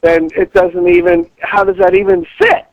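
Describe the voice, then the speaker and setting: A man's voice: very high-pitched, wildly swooping pitch, normal speed, creaky, no echo. A middle-aged American man arguing bluntly and dismissively on a phone call, lecturing the other person. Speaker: father taking a phone call. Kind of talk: heated phone argument. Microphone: telephone. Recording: dry phone call audio.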